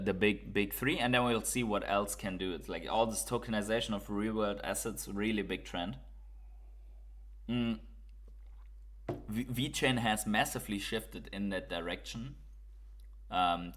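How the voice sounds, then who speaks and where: A man's voice: lowish voice, measured pace, fairly bright tone. A man from Germany with a German accent, hosting a casual crypto livestream, reading viewer comments and thinking aloud with fillers and repeats.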